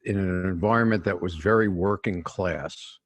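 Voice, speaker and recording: An older man from New York City, a guest executive coach, telling stories in a measured interview manner and often restarting his phrases. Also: low-pitched, no echo. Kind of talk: conversational interview storytelling.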